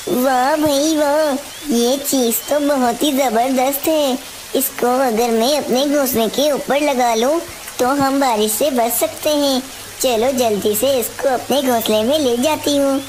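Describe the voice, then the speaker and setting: A woman voicing a caring, hardworking mother bird in a cartoon story, with exclamatory, expressive delivery. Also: high voice, unhurried. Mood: delighted. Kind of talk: Hindi cartoon story dialogue. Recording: hissy, clearly noisy background.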